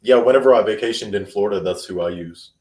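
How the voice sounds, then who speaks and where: A man's voice: fairly low pitch, fast, a little mellow. A man with a faded Eastern Kentucky accent, hosting a casual interview in a laid-back manner. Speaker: host.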